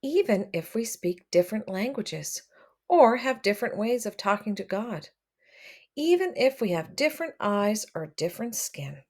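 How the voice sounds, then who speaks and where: A woman reading a picture book aloud to children, expressive and addressing them directly as she comments along. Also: medium pitch, moderate pace. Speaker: read-aloud storyteller for children.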